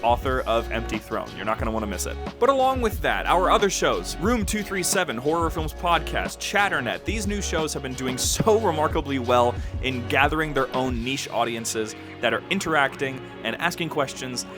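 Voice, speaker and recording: A male podcast host gives a conversational update to his audience over prominent background music. His voice sits at an average pitch. He sounds enthusiastic and informal, leaning on key words for emphasis. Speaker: podcast host and producer giving a channel overview. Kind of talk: upbeat, conversational channel update to fans.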